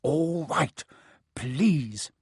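A man's voice: a little high, lively intonation, drawn-out words, a little mellow, breathy edge, no room sound, clean studio sound, heavily acted. A man voicing an irritable, menacing school caretaker in an audiobook, impatient and demanding. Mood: impatient, angry. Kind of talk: audiobook character voice.

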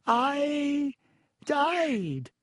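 Man doing a ghostly schoolgirl, high shrill voice, wailing, melodramatic, self-pitying. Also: big pitch swings, extremely slow, dry recording, clean studio sound, heavily acted. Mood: dramatic. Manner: dramatic, wailing, relishing gloom.

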